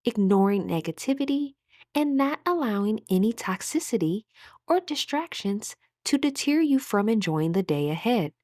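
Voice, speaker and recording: Female meditation guide, fairly high voice, calm and soothing, measured phrasing, close dry recording. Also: no room sound.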